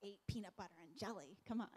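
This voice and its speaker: A woman's voice: mid-range voice, quick pace, a little mellow, breathy edge. Laughing woman on a discussion panel. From Japan and New Jersey. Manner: laughs often while recounting, many fillers. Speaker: panelist filmmaker.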